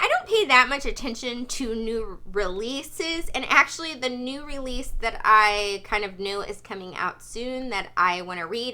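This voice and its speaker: A woman talking casually and chattily as a book vlogger, with emphatic, animated delivery. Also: medium pitch.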